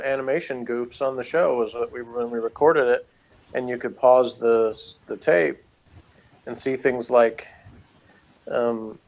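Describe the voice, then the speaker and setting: A man co-hosting a review show, talking casually about pop culture in an easygoing, hedging way. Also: mid-range voice.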